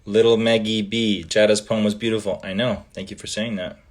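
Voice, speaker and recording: Canadian guy, italian first language, deep voice, laid back live chat with lots of ums. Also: a little fast. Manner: relaxed, trailing off with frequent ums. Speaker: livestream host. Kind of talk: casual livestream chat reading fan comments.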